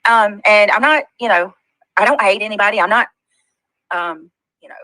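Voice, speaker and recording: A woman with a Southern US accent talking on her livestream, halting and hurt, defensive, with many fillers. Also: mid-range voice, sharp tone, no room sound, low-quality recording. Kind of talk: casual livestream talk.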